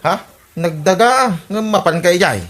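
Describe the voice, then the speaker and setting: A woman's voice: on the low side, moderate pace, close to an everyday voice. A woman playing a sceptical, needling Ilocano husband in a comedy radio drama. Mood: disapproving.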